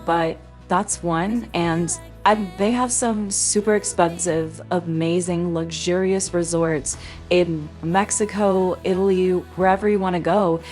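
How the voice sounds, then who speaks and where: A woman playing a calm, persuasive mother of a teenager in an amateur voiced roleplay, with a gentle, explanatory manner. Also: on the low side, natural voice. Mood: coaxing. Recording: music behind the voice.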